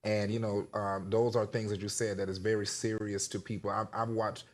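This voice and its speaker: A man speaking as a talk show panelist in unscripted discussion, earnest and halting, often repeating his words. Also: on the low side, flat delivery.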